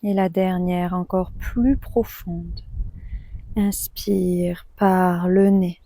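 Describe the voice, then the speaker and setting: A woman leads a guided relaxation with a soft, mellow tone. She speaks very slowly and calmly, leaving long pauses between phrases. The recording is close and dry. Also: fairly low pitch, dry recording. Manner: calm, soothing, unhurried with long pauses. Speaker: yoga nidra guide.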